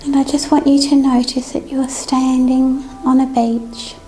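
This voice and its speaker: Slow, high-voiced woman guiding meditation. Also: little pitch movement, rough recording. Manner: gentle, soothing, unhurried instructions. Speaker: meditation guide. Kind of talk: guided meditation. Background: music.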